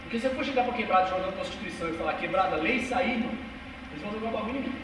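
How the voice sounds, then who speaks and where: A young man with a São Paulo interior accent speaks with fervent, emphatic conviction, dropping street slang as he addresses a small crowd. His voice sits high, and it comes from far off in a big echoing hall with a long reverb tail. Steady noise hums under the whole recording. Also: rapid-fire. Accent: São Paulo interior Brazilian Portuguese. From Brazil. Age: 20s. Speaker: speaker giving a talk. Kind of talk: passionate live talk to a small audience. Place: cultural center hall.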